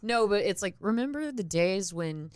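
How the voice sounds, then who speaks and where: A woman with a deep voice, chatting casually on a podcast and acting out quotes as she jokes. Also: normal speed, clean tone, no echo. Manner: joking, animated storyteller who acts out quotes. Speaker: podcast co-host. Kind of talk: casual chat.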